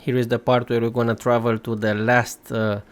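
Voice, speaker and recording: A man's voice: medium pitch, level tone, fairly quick. A man talking casually as a YouTuber, giving commentary over gameplay. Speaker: YouTuber, game channel host.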